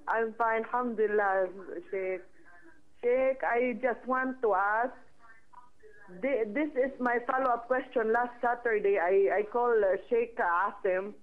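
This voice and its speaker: A woman from the Philippines calling into a TV show to ask a question, speaking politely and haltingly with Arabic phrases mixed in. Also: on the high side. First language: Filipino language. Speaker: caller. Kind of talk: phone-in question. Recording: tinny phone line.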